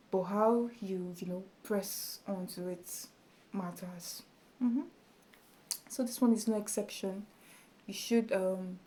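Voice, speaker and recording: A woman with a small, soft voice talking casually to her camera as a YouTube tutorial creator, chatty and encouraging with many fillers. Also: mid-range voice. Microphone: camera in front of her.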